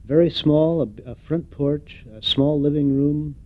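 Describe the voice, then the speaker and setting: An older man giving an oral history interview, reflective and hesitant, pausing often with fillers. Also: a little high. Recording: tinny phone-like recording.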